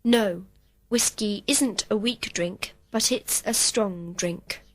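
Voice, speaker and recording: Female student reading scripted answers, mid pitch, very slow pace. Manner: slow, complete textbook sentences. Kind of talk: scripted full-sentence answers.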